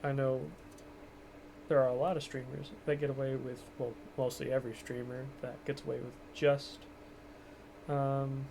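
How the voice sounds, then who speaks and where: A gravelly-voiced man talks in a flat, rambling, self-deprecating way while he streams a game from home, keeping the volume down for the house. His cheap microphone picks up plenty of hiss, with engine sounds from the game running under his voice. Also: mid-range voice, moderate pace. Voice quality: monotone. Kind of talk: casual gameplay commentary. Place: home, kept quiet for others in the house.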